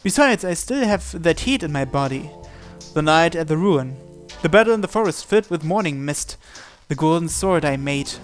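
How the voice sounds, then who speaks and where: A man's voice: on the high side, lively intonation, normal speed, soft background music. A man narrating a visual novel in the first person, reading from the script.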